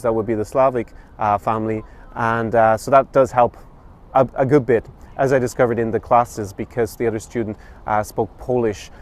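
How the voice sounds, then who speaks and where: A man in his thirties vlogging to camera outdoors in a city, giving a casual spoken review with many fillers and restarts. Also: mid-range voice. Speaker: travel and language vlogger. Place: outdoors in a city street by a statue.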